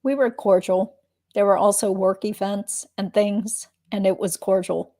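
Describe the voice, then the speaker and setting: A woman acting as a female witness under questioning, with a soft, mellow tone and a mid-range voice. She answers carefully and commits to little, as in a dramatic reading of a deposition. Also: medium pitch, steady tone, measured pace. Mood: guarded. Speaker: reader playing a deposition witness.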